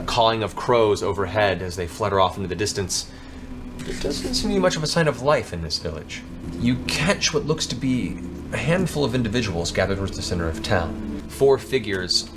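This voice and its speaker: Man, game master, theatrical descriptive narration, improvised, deliberate pauses, music bed behind. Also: on the low side.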